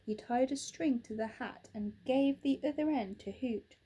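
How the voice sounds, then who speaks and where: A young woman teacher gently and expressively reading a children's picture book aloud in a small room. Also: high voice, moderate pace, breathy edge.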